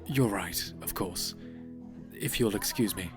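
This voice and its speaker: Courtly, low-voiced mysterious gentleman. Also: takes it slowly. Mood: smooth. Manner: formal, polished, persistent. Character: courtly, insistent, mysterious gentleman in his forties. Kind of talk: audio drama acting. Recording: prominent music bed behind the voice.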